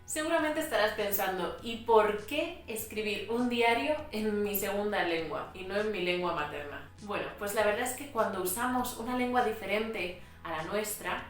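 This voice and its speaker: A woman with a Spain Spanish accent, a Spanish teacher explaining writing practice in a clear, patient way. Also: mid-range voice, fairly bright tone. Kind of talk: educational vlog narration. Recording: echoey room, mic at a distance.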